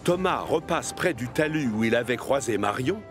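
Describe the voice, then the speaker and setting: Guy narrating a kids cartoon story, medium pitched voice, music playing behind him. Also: lively intonation, moderate pace. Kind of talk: scripted children's story narration.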